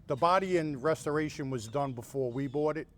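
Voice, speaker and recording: A man's voice: a little high, fairly quick, a bit bright. An easygoing, plainspoken man chatting casually as a guest car owner, explaining his build.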